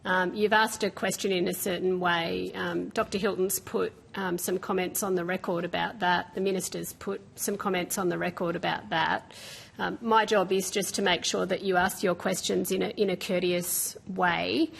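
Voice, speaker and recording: A woman chairing a hearing, conciliatory and halting, often repeating words. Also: lowish voice, little pitch movement, gravelly, husky. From Australia. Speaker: committee chair. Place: parliamentary committee room.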